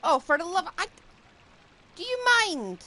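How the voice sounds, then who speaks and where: A woman's voice: very high voice, big pitch swings, moderate pace, pushed and bright. A woman streaming a game with casual, exasperated commentary, blurting out her reactions expressively. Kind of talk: casual live gaming commentary.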